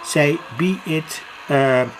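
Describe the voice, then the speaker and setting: A hobbyist giving an unscripted demo at home, explaining piece by piece in a halting way with frequent pauses. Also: medium pitch. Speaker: hobbyist demonstrator. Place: home, indoors near a kitchen. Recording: noisy room with radio static. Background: radio noise.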